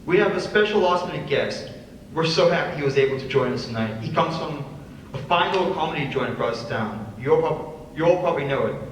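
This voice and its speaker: A man's voice: fairly high pitch, lively intonation, brisk, lightly acted. Man playing a genial emcee, very echoey hall. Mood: genial, announcing. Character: genial male master of ceremonies introducing a comedian. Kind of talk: live dramatic script reading, stage announcement. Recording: distant echoey hall, faint noise.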